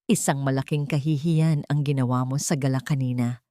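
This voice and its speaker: A woman narrator playing a haughty elderly mother-in-law, sharp and scolding, in an audio love story. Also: very deep voice, steady tone, fairly quick, no echo, clean studio sound, voice pushed down. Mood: cold scolding.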